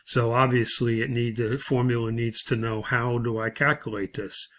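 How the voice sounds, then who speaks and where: Man, mid-pitched voice, instructor, step-by-step explanation, halting delivery, frequent hesitations. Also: little pitch movement, fairly bright tone. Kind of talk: spreadsheet tutorial, explaining step by step.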